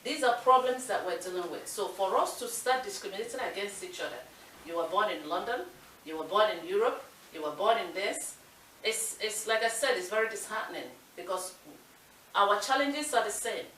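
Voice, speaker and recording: A woman's voice: medium pitch, a little fast, fairly bright tone, far from the mic. A Nigerian woman co-hosting a talk show speaks with earnest emphasis. She sits a little back from the mic in an echoey room with a faint hiss underneath. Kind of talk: casual discussion.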